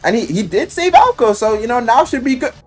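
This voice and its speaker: A man giving a casual, enthusiastic recap of a story, animated and slangy, swearing freely and acting out the quotes. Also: extremely high pitch, brisk. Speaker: video game and visual novel reviewer. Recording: music playing behind the voice. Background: music.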